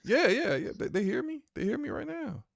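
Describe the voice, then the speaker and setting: A man with a deep voice chatting casually on a live game stream, flustered as he talks himself through tech trouble. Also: sing-song, a little fast, vocal fry, no echo.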